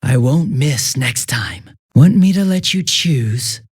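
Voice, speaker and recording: A young detective gone bad, a smug game villain who enjoys taunting. His mid-pitched voice swoops from bored, sarcastic drawl to giddy theatrical mockery. The sound is very dry, with no room to it. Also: lively intonation, slow, breathy edge, no room sound. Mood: bored, contemptuous. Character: smug, mocking young male detective turned murderous villain. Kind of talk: video game voice acting.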